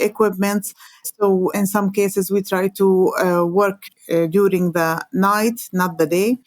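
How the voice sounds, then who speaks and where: A woman from Palestine with a Palestinian Arabic accent, a native Arabic speaker, explaining her work as an interview guest in halting, hesitant phrases with frequent pauses. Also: medium pitch, darkish tone, no echo. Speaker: interview guest, environmental organization coordinator.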